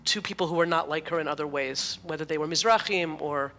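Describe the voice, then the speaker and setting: Woman rabbi asking a question, fast talker, lots of you know. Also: sits low, fairly bright tone. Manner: rapid, searching, many "you know"s.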